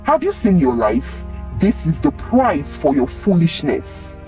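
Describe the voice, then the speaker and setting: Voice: mid-range voice, sing-song, unhurried, darkish tone, far from the mic, soft background music. A storyteller voicing a worried, exasperated father, urgent and reproachful. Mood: outraged.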